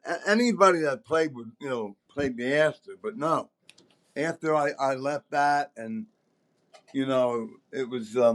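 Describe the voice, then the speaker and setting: An older man, likely in his seventies, telling casual anecdotes in a rambling, emphatic, salty-tongued way. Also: mid-range voice. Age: older, around 70s. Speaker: podcast host telling stories as a former concert promoter.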